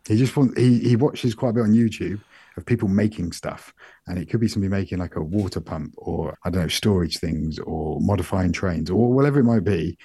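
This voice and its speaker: Middle-aged British man, soft-spoken co-host, deep mellow voice, dry close mic. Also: brisk, breathy edge, no room sound. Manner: soft-spoken, relaxed and rambling. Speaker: podcast co-host. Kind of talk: casual chat.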